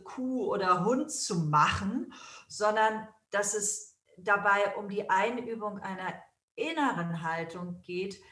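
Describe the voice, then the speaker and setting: A woman being interviewed as a guest, answering in a calm, measured, reflective way. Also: mid-range voice. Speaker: guest, yoga school founder. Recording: roomy and distant from the mic.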